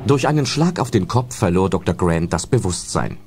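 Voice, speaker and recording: Low-voiced, animated male storyteller. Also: normal speed, very noisy. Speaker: narrator. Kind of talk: scripted audio drama narration. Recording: loud music under the voice.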